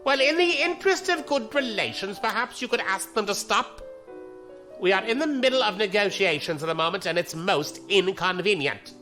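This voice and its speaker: A performer acts out an officious fleet commodore of a space empire, icily courteous and formal. The voice is sharp and forceful with lively intonation, set in a science fiction radio drama over faint music. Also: medium pitch, normal speed. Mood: icily displeased. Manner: formal, clipped politeness.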